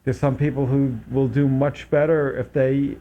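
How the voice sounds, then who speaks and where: Halting man hosting a local talk show. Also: medium pitch, flat delivery, dark tone, slight background noise. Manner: hesitant, many fillers and restarts. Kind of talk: casual interview chat. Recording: dull lo-fi public access audio.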